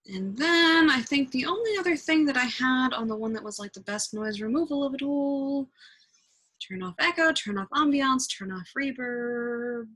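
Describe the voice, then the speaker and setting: A woman giving a casual, improvised software tutorial, thinking out loud and swearing offhandedly as she goes. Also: sits high, expressive, normal speed. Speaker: tutorial presenter.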